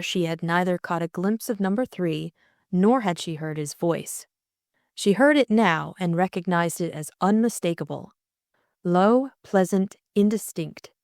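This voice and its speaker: A woman's voice: lowish voice, darkish tone, dry recording, studio quality. A woman reading scripted story narration as an audiobook narrator.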